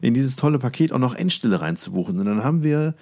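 A man chats as a podcast co-host, informal and unscripted. His wording is colloquial, with wry understatement, and his voice sits at a normal male pitch. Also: expressive, fast, a little mellow. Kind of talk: casual podcast chat.

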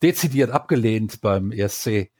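A man with a low, husky voice lays out his views at length as a podcast co-host. His voice is close and dry, with no room around it. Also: animated, moderate pace, a little mellow, dry recording. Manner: opinionated, argues at length. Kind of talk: casual podcast talk.

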